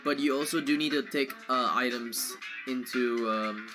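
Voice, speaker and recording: A man's voice: mid-range voice, moderate pace. A man doing casual live video game commentary in an informal, chatty way, as a speedrunner and co-commentator. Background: video game music.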